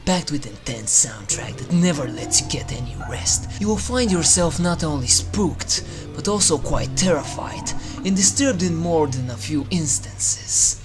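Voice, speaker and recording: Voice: medium pitch, lively intonation, a little slow, few pauses. Theatrical narrator over eerie music. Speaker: video host, reviewer. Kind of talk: scripted list review narration. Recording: music under the voice. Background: eerie game soundtrack music.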